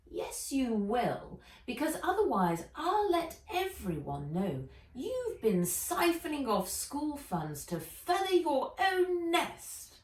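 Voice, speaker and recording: Woman acting haughty old villainess, animated, echoey. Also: high voice, slow pace, few pauses, voice pushed up, exaggerated voice. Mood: threatening. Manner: haughty, menacing, insistent. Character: aged, imperious, blackmailing villainess. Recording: roomy echo, distant mic.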